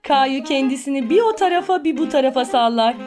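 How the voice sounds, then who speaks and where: A woman's voice: high-pitched, expressive, brisk, a little mellow, soft background music. A woman reading scripted storybook narration for a children's cartoon.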